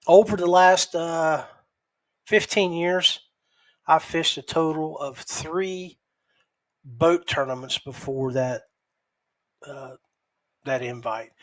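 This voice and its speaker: A middle-aged man hosting a podcast, giving a casual solo recap in a halting way with repeats and pauses. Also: mid-range voice, a bit bright. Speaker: podcast host.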